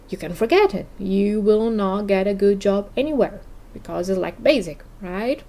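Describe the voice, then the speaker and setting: A woman's voice: mid-range voice, dark tone. A woman from Brazil, a native Portuguese speaker with a Brazilian accent, hosting a casual podcast and often checking in with "okay?". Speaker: podcast host.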